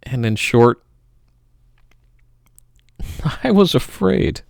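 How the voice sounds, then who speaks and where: A man giving a theatrical, melodramatic poetry reading, swinging between loud outbursts and hushed murmurs. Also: mid-range voice, big pitch swings, very slow, vocal fry, no room sound, clean studio sound. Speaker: poetry reader.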